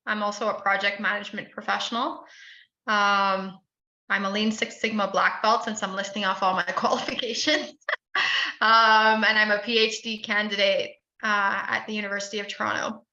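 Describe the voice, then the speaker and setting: A woman's voice: medium pitch, flat delivery, sharp tone, echoey room, muffled recording. A woman from the Bahamas in her thirties, telling her career story as an interview guest with frequent "um" fillers. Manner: frequent "um" fillers, friendly and measured. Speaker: podcast guest.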